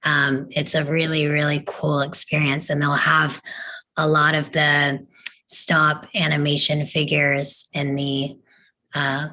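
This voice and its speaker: A woman chats casually with a group. Her voice sits low for a woman but has a bright, clear-cut edge. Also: monotone, takes it slowly, rough recording.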